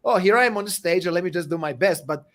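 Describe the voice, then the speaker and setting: A man whose first language is Serbian, answering interview questions in an energetic, emphatic motivational way, often tagging "right?" onto his points. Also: high voice, fast. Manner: energetic, emphatic, repeats "right?" and "you know what?". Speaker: guest speaker and coach.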